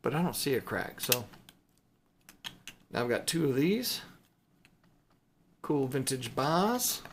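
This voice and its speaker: A man's voice: medium pitch, very animated, slow pace, slight background noise. A man chatting casually and unscripted as a video host showing off items, rambling with mildly playful exclamations. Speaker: video host showing silver bullion purchases. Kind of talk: casual unscripted show-and-tell chat.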